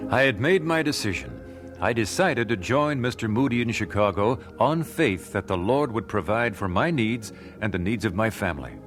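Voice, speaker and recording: Earnest, low-voiced devout family man played by an actor. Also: lively intonation, moderate pace, over music, close to an everyday voice. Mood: resolved, narrating. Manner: earnest, reflective. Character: Ira Sankey, earnest devout 19th-century family man, hesitant gospel singer. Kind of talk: radio drama narration and dialogue.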